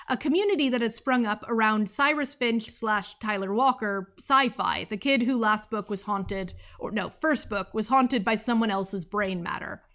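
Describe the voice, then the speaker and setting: A woman's voice: on the high side, a bit bright. A young woman delivering a scripted book review video essay, earnest and analytical, drifting off into asides now and then. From United States. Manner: earnest, analytical, with sidetracks and small laughs.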